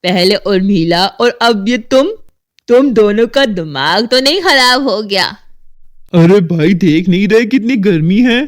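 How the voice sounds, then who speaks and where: A woman voicing a skeptical, dismissive village man in an animated horror story. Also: mid-range voice, measured pace, pitched below the performer's own voice, natural voice.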